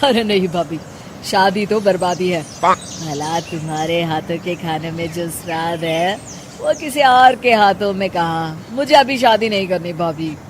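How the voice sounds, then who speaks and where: A woman's voice: fairly low pitch, animated, unhurried, slight background noise, voice pushed down. A woman voicing a childish, pampered young man in a cartoon family story. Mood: reluctant, flattering.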